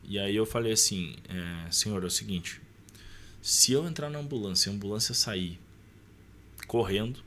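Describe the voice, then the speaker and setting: Southern brazilian guy on a podcast, deepish voice, chatty storytelling, some noise behind him. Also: moderate pace, bright, forceful tone. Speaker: podcast guest. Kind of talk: casual personal storytelling.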